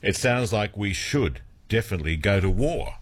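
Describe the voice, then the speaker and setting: Deep-voiced radio host. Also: male voice, moderate pace, over loud music. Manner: relaxed, easygoing questions with fillers. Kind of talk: casual radio chat.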